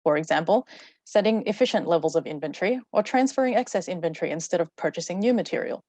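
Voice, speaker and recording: A woman delivers a rehearsed webinar talk at a brisk clip over a video call. Her voice sits a little low, and the sound is dry and close. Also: animated, mellow, no echo. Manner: fluent, rehearsed. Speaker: webinar speaker. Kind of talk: prepared talk.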